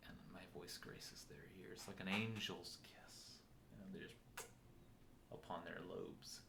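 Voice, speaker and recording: A man with a loud voice in casual, joking podcast chat, rambling and poking fun at himself with crude humor. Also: steady tone, unhurried, gravelly, background noise. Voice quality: loud, booming voice. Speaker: podcast host.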